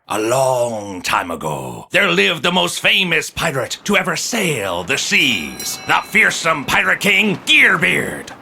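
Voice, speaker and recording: A hammy old pirate radio captain with a forceful, very bright voice and a stage pirate accent. His pitch swoops dramatically as he spins a theatrical sea legend, with gravel in the tone and faint noise behind. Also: full character voice. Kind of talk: theatrical scripted storytelling.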